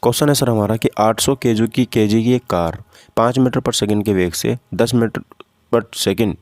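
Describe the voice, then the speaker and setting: A man's voice: on the low side, normal speed, a little mellow, dry recording, studio quality. A man teaching informally, working through practice questions step by step and often asking questions that he answers himself. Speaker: physics teacher for exam preparation.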